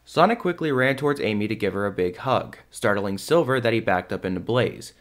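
A man's voice: fairly low pitch, fairly bright tone. A man reading scripted third-person narration for a fan audio drama. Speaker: narrator and lead actor. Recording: music under the voice.

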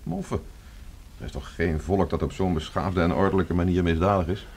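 A man's voice: sits low, steady tone, brisk, vocal fry, darkish tone, breathy edge, full character voice. An actor playing an earnest, blunt and competitive man in a radio drama.

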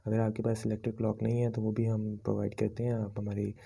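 A man with a Pakistani accent presenting a product unboxing as a shop's sales pitch, politely and in formulaic patter mixed with English words. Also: completely flat delivery, quick pace, creaky, mellow, husky. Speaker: online shop presenter.

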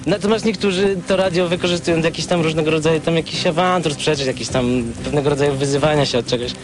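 A man's voice: fairly high pitch, steady tone, quick pace. A man chatting casually in an interview, colloquial and often tagging his sentences with a checking question. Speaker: CB radio user, interviewee.

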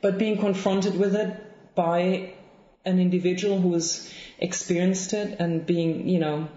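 A woman in her thirties from Germany with a German accent, speaking thoughtfully in an interview, with frequent pauses and restarts. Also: fairly low pitch, fairly even intonation. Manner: thoughtful, soft-spoken, many ums and restarts. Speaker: guest author. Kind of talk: reflective interview conversation. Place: home room with bookshelves, indoors. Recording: roomy, slightly dull lo-fi sound.

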